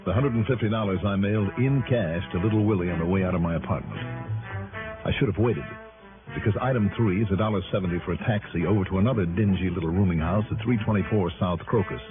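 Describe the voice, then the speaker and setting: Actor as wry low-voiced radio detective. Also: male voice, fast talker, lightly acted. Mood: wry narration. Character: wry, hard-boiled male insurance investigator narrating his case. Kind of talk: early 1960s radio drama acting. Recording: muffled old radio broadcast, music.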